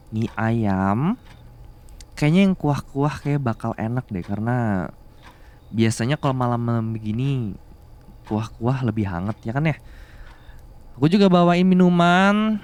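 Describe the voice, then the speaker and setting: A male voice actor plays a caring young man in an office-romance audio roleplay, sweet on his older boss. His voice sits at an average male pitch. He speaks slowly, with bashful hesitations and a playful, coaxing manner. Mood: shy, caring. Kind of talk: Indonesian ASMR romance roleplay.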